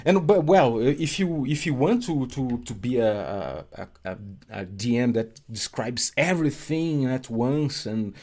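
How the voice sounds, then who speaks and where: A man's voice: mid-range voice, expressive, dark tone. A man from Brazil with a Brazilian Portuguese accent, a game designer talking in a casual podcast conversation, often stopping and restarting his sentences. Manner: halting, many restarts and fillers.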